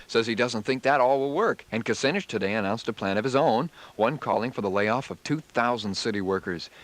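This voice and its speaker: An American man working as a radio DJ, delivering music patter and news in a 1970s style. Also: fairly low pitch. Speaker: radio DJ and newsreader.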